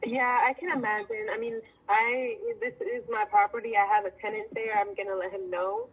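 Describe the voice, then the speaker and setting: A woman's voice: mid-range voice, fairly even intonation, moderate pace, breathy edge. A woman chatting casually on the phone, polite, agreeable and unruffled. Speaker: property owner answering a phone call. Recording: noisy phone-call recording.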